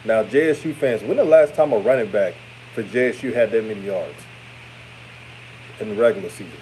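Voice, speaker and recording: A man in his thirties, from Houston, with a deep voice that sounds older, giving casual hot takes and reading chat comments on a live stream. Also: brisk, slight background noise, poor audio. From Houston, Texas. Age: 30s. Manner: relaxed, chatty, repeats himself, trails off. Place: his room after a game.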